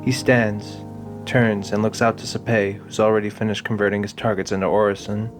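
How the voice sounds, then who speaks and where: A man's voice: fairly low pitch, little pitch movement, a little fast, soft background music. A man narrating a scripted audio drama.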